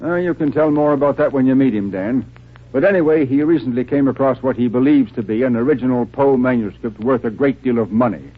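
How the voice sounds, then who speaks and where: A man acts a skeptical police captain in a vintage radio mystery. His middle-pitched voice is plain and matter-of-fact, thinned by a narrow, tinny recording. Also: a little fast, close to an everyday voice. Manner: plain-spoken. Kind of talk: old-time radio acting.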